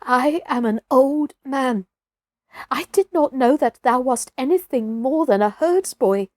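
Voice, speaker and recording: Woman putting on a husky, fawning old man. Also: high-pitched, unhurried, a little mellow, no echo, studio quality, pitched above the performer's own voice. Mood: fearful, fawning. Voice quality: husky, whispery. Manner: humble, pleading. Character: frightened, fawning old male villager.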